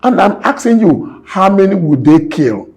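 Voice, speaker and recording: Man, 60s, somewhat high voice, emphatic preacher-like delivery, businessman guest. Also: very animated, moderate pace, gravelly, darkish tone, breathy edge. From Nigeria. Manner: emphatic, preacher-like, repeats points. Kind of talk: impassioned interview talk.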